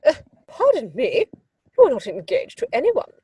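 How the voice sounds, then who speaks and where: A woman's voice: high-pitched, huge pitch swings, moderate pace, mellow. A woman playing a haughty, disapproving middle-aged aristocratic mother in a theatrical period comedy, pompous and commanding. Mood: indignant, commanding.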